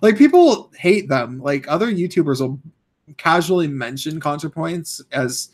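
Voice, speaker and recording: A young man with a hoarse voice, live-streaming and ranting casually, rambling with many fillers and restarts. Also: on the high side, lively intonation, a little slow.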